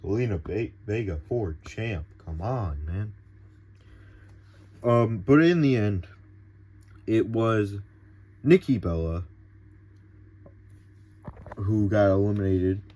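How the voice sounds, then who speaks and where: A man's voice: fairly low pitch, slow, long pauses. A young man recapping a wrestling event in a casual, rambling way, full of fillers and restarts. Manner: excitable, rambling, filler-heavy. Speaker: wrestling show reviewer.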